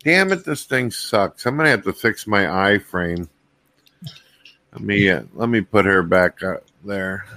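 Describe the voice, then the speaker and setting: A middle-aged man hosting a livestream in a bright, forceful voice. He is chatty and clowns around, and his sound is close and dry. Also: on the low side, animated, measured pace, dry recording. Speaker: host.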